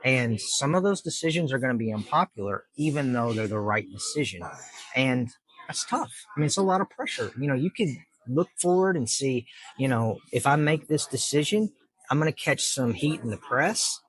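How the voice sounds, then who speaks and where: A man's voice: mid-range voice, animated, moderate pace, low-quality recording. A middle-aged man, a judge answering interview questions earnestly and patiently in plain terms, with lots of fillers. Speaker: district judge, guest.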